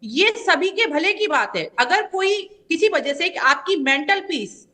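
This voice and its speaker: Very high, bright-voiced woman arguing firmly. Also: lively intonation, a little slow. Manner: assertive, lays out points with legal specifics. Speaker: party spokesperson. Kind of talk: debate argument on a news panel.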